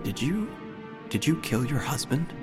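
An actor playing an earnest, wordy obituary writer who narrates an audio drama in a deliberate, deadpan way. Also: male voice, medium pitch. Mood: nervous, curious. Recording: faint music behind.

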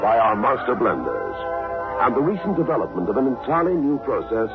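A man's voice: mid-range voice, huge pitch swings, brisk, over music. A man announcing a 1960s radio advertisement with an emphatic, polished sales delivery. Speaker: commercial announcer. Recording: muffled old radio recording.

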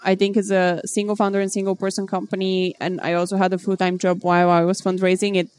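A woman from Bulgaria in her thirties, a startup founder answering interview questions in a measured, businesslike way. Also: medium pitch, flat delivery, darkish tone, clear, resonant tone, no echo.

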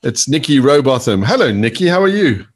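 A man's voice: mid-range voice, big pitch swings, a little fast. A man hosting an interview show, talking in a chatty, upbeat way with fillers and restarts. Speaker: podcast host. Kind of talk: casual interview chat.

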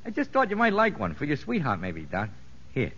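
An actor playing a sly, streetwise convict boss with slangy, mock-courteous talk in a 1950s radio drama. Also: male voice, on the high side, wildly swooping pitch, brisk, a bit bright, breathy edge, exaggerated voice. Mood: sly, needling. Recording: dull, noisy 1950s radio recording.

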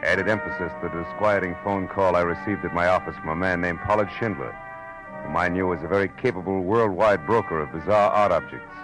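A hard-boiled American private eye, played by a male actor, speaks low in a tough, clipped, unflappable way. It is vintage radio detective drama, with music behind the voice. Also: a little fast, close to an everyday voice, low-quality recording. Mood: tense narration. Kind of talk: 1940s radio detective acting, first-person narration.

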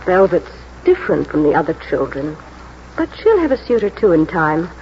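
A woman's voice: low-pitched, very animated, normal speed. An actress playing a proud, reflective middle-aged village mother in a 1940s radio drama. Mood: wistful pride. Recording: muffled hissy 1940s radio recording.

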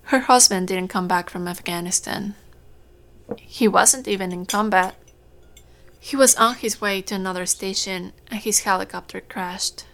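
Actress doing a kindly middle-aged farm mom, gentle and proud, creaky voice, audio drama. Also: female voice, medium pitch, moderate pace, lightly acted. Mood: soft, sorrowful. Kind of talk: naturalistic audio drama dialogue.

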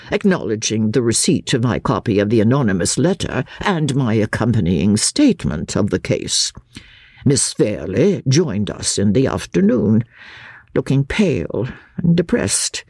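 A man narrates a classic novel in a formal, theatrical style. His voice is husky and creaky, and his pitch rises and falls dramatically across each phrase. The sound is close and dry. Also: a little mellow, dry recording. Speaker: audiobook narrator reading as a lawyer.

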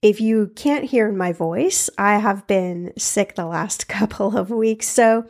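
A woman hosting a money podcast, hoarse and froggy from a recent cold, chatting casually and laughing between points. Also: mid-range voice, takes it slowly, darkish tone. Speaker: podcast host. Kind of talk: casual solo podcast talk.

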